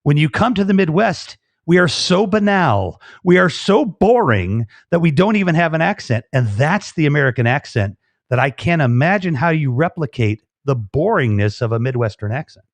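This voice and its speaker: A man from Chicago hosting a casual live chat, animated and jokey. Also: on the high side, moderate pace, dry recording. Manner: animated, jokey, puts on voices. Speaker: host.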